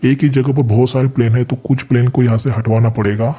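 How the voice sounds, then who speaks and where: An Indian man with a slightly dark, husky voice at an average male pitch. He gives casual gameplay commentary as a channel host, explaining as he goes and talking to viewers like friends. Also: steady tone, fast talker.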